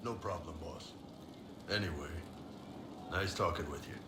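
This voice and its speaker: An actor playing a big, gruff, world-weary mercenary spy in a video game, casual and blunt in speech. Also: male voice, sits low, unhurried. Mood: subdued. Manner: casual, plain-spoken, blunt. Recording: roomy echo with clear background noise.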